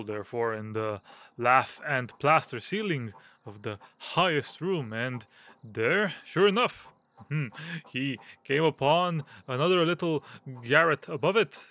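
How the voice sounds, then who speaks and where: A male actor plays a fussy, delicate gentleman hypochondriac in an audio drama. His pitch swings widely as he talks, formal and self-important, hesitating over his words. He sits at a medium male pitch. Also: lively intonation. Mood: excited, proud. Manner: halting, hesitant, formal and fussy with throat-clearing.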